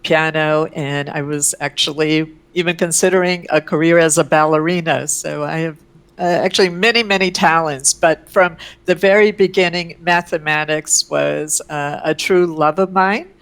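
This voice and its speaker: A woman's voice: deep voice, steady tone, moderate pace, smooth. A woman whose first language is Ukrainian, speaking as an interview guest with effusive enthusiasm and frequent fillers, recorded in a room at home. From Canada and the US. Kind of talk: conversational interview. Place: a room at home with her oil paintings.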